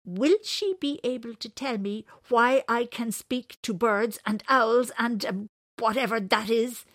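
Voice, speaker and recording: A woman's voice: fairly high pitch, a little slow, fairly bright tone, dry recording, clean studio sound, pitched above the performer's own voice. A woman voicing an angry, sulky old man in a storybook, grumbling and snapping.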